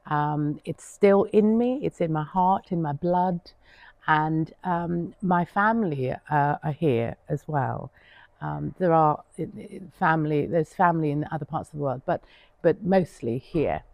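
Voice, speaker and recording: A woman's voice: low-pitched, unhurried, vocal fry, a little mellow, no room sound. A woman from Jamaica who came to England when young, telling her personal story in an interview. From born in Jamaica, came to England when young. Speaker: local resident interviewee.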